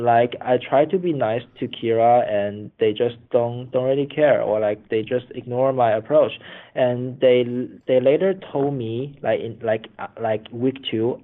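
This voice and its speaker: Over a thin phone line, a man gives spontaneous interview answers as a former contestant. He speaks haltingly, doubling back and repeating words as he explains himself. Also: medium pitch. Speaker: former reality show contestant being interviewed. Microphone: phone call. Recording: phone line, band-limited.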